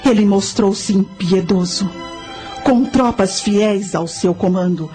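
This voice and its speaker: A solemn high priestess of ancient Carthage, played by a woman for a radio drama. She recounts events in grave, formal phrases at a middle pitch, with music underneath. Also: slow, mellow, natural voice. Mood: grave narrating.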